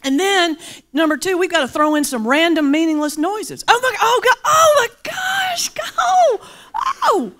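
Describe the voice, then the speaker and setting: A woman from the mountains of North Carolina with a Southern accent, a pastor and comedian giving a lively, humorous keynote speech to a large luncheon crowd. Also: extremely high pitch, unhurried. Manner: lively, playful, folksy with audience call-and-response. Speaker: pastor and comedian, guest speaker. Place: large banquet hall before a crowd.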